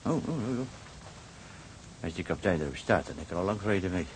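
An actor playing a fussy, money-minded village gravedigger who sells headstones with chatty, hesitant, wheedling patter in a period drama. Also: male voice, low voice, animated, a little fast, a little hiss or hum, poor audio. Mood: put out, reckoning.

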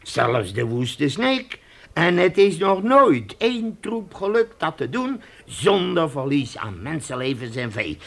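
A domineering frontier fort chief in a radio play. He speaks slowly and emphatically, with big swings of pitch and a gravelly edge, chuckling as he warns travellers. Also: very animated, exaggerated voice. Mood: grave warning. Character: hearty, domineering British trading-post chief warning settlers. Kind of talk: radio drama acting.

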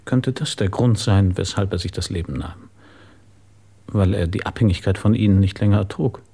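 Husky, mellow, probing police inspector. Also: male voice, on the low side. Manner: measured, insistent, dry questioning. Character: calm, probing, sceptical male police inspector. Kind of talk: German radio drama acting.

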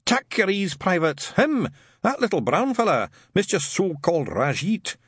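A man voicing a gruff, paranoid old witchfinder sergeant, barking and conspiratorial. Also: sits high, sing-song, measured pace, fairly bright tone, no room sound, clean studio sound, voice pushed up, full character voice. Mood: barking, suspicious. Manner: barking, conspiratorial, bigoted grumbling. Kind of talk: audiobook character voice.

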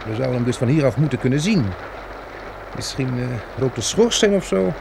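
Caring practical survivor in an old radio play, lively intonation, really noisy tape. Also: male voice, medium pitch, brisk, creaky. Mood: practical, hopeful. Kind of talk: Dutch radio play acting.

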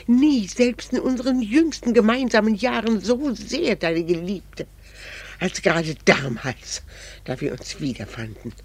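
A wounded wife pleading within an estranged marriage, in an old German radio drama. She speaks in earnest, formal stage diction at a medium pitch, recorded very dry. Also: expressive, unhurried, breathy edge, dry recording. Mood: pleading, nostalgic. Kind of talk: 1960s German radio play acting.

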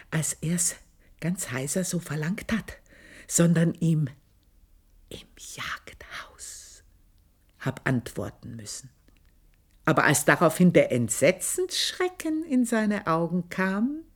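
Shrewd old maid in a radio play, low and slow, confiding, goes half whisper. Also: female voice, exaggerated voice. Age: older. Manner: confiding, drops to hushed whispers. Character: aging female servant, shrewd and bitter. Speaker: maid recounting her past. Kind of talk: 1980s radio play monologue.

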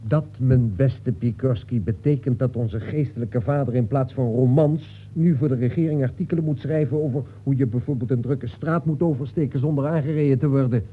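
An actor playing a composed detective from a novel, measured and wry, in an old radio play. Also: male voice, medium pitch, phone-quality sound, close to an everyday voice. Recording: thin, noisy old radio recording.

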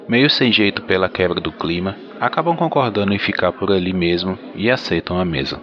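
A man narrates and announces like an old-style radio serial host, in a fairly low voice. Music plays behind him and the sound is a little dull. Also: a little fast. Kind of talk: theatrical radio drama narration and promo announcing.

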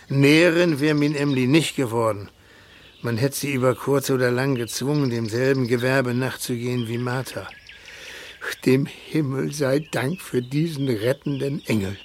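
An actor playing a warm-hearted, humble older fisherman in a radio play, speaking emotional North German dialect. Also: mid-range voice, a little hiss or hum, close to an everyday voice. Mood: joyful, moved. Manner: rustic dialect speech, emotional.

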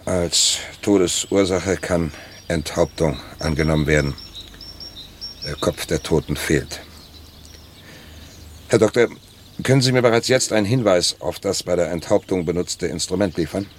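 An actor playing a brisk, authoritative public prosecutor in a radio crime drama, speaking in clipped, formal dictation. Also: male voice, deep voice. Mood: brisk, commanding. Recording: noisy outdoor ambience with birds.

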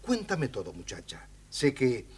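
An actor playing a kindly, patient king with a husky voice in a children's audio storybook. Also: mid-range voice, huge pitch swings, measured pace, a little mellow, exaggerated voice. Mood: kind, coaxing. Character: kindly, theatrical old-fashioned king, patient and curious.

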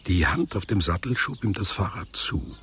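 An actor playing a reflective narrator who tells a literary radio drama in a measured, precise, deliberate way. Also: male voice, low-pitched, sing-song, fairly bright tone, slight background noise. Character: reflective male author-narrator recounting a boy's postwar memories.

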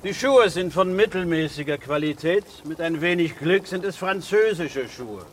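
An actor playing a gruff, sardonic English police detective near retirement in a German radio crime drama. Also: male voice, fairly high pitch, lively intonation, moderate pace, a little hiss or hum, natural voice. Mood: brisk, gruff. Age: 60s. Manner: blunt, grumbling.

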